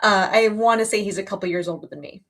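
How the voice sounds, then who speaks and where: A woman in her early thirties hosts a casual livestream. Her voice sits at a middle pitch for a woman. She talks in an informal, emphatic way, readily gushing as she chats to her viewers. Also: fast talker, a bit bright. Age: early 30s. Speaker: livestream host.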